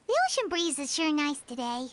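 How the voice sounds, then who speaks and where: A tiny, anxious companion creature from a video game. The voice is squeaky, childlike and extremely high, with an earnest, fretful delivery. A faint noise sits behind it. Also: female voice, fairly bright tone, full character voice. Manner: speaks of herself in third person, earnest.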